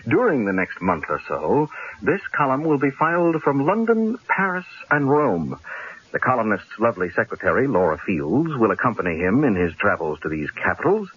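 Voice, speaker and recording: Husky, low-voiced, unflappable newspaperman. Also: a bit bright, heavily acted. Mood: playful, wry. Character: cool, wry, unflappable newspaper columnist, a grown man. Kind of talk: radio drama acting. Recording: dull old radio recording.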